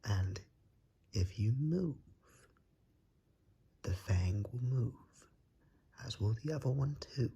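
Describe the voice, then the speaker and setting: Suave ASMR vampire, acted by a man: very breathy and husky, unhurried, politely menacing and seductive. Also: medium pitch, expressive, dark tone, exaggerated voice. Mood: coaxing, patient. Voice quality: breathy, husky, half-whispered. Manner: courtly, drawn-out, seductive menace. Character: suave, predatory vampire, coaxing and darkly polite. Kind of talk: ASMR roleplay, slow and whispery.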